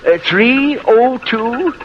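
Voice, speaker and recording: A man's voice: very high voice, animated, extremely slow, full character voice. An actor playing a flustered, stubborn old working man with a hesitant, folksy manner in 1940s radio style. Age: older. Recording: dull noisy old radio recording.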